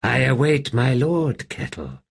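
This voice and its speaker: A man's voice: mid-range voice, big pitch swings, a little slow, vocal fry, slightly rough, pitched above the performer's own voice, heavily acted. Man voicing a sly, courtly undead wraith. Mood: amused. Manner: formal, faintly amused.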